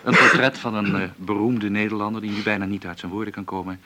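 An actor playing a young, deferential radio interviewer in a radio play, speaking formally and with polite hesitation. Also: male voice, lowish voice, fairly even intonation, quick pace, bright, forceful tone, slight background noise. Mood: formal, presenting. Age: young adult. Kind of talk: radio drama played as a portrait interview.

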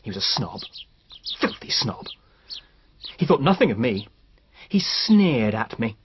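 A slightly dull radio drama recording with faint background noise, an unstable, resentful husband. He is intense and overwrought, his pitch swinging widely. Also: big pitch swings, a little slow. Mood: agitated, bitter. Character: resentful, unstable, self-accusing young husband. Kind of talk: BBC radio mystery drama acting.

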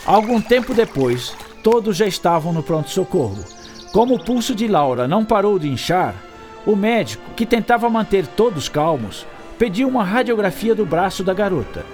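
A man narrating a scripted children's story in an expressive storytelling style. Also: high-pitched, normal speed. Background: music.

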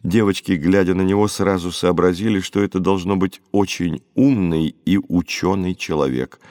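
A man with a low voice reads a literary story as an audiobook narrator. The delivery is scripted storytelling, and the recording is very dry. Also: steady tone, normal speed, darkish tone, dry recording.

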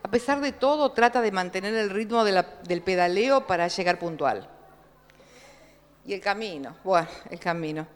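A woman with a Córdoba accent reads a short story to a live audience, drawing her phrases out slowly and expressively. Her voice sits at an ordinary middle pitch. It rings with the long reverb of a large hall. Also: animated. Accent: Argentine, Córdoba. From Córdoba province, Argentina. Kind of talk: live reading at a book launch.

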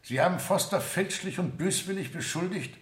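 Man acting a stern, higher-voiced judge. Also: echoey room, natural voice. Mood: stern, reproving. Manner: measured, formal, authoritative. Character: stern, formal male judge presiding over a trial. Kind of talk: 1970 German radio drama acting.

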